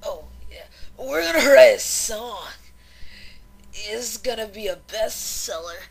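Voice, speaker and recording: A woman's voice: on the high side, lively intonation, slow pace, creaky, breathy edge, exaggerated voice. A woman playing a drunk, lovesick young mare disc jockey who slurs and rambles melodramatically in a fan fiction audio drama. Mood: excited, slurred. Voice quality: slurring.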